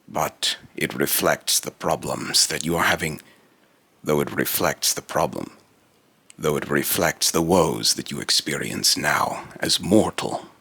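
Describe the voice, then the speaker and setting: Man voicing a very deep, gravelly otherworldly immortal. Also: pushed and bright, dry recording, exaggerated voice. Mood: solemn, instructive. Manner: grandiose, archaic, halting delivery with repeated phrases. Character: solemn otherworldly immortal being with deep robotic electric voice. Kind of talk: dramatic character monologue.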